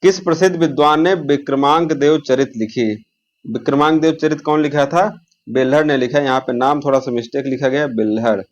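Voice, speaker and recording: A man's voice: medium pitch, brisk. A man teaching an exam lesson, posing questions, answering them himself and repeating key terms.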